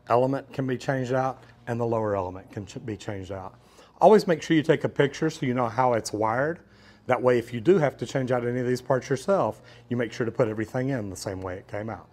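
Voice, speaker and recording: A practical, plain-spoken man in his forties walks viewers through a plumbing demonstration. His voice sits at an ordinary middle pitch, close-miked on a lapel, in a small metal-walled indoor space. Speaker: plumber and instructor. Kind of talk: hands-on instructional demonstration. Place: metal storage unit, indoors. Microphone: lapel mic.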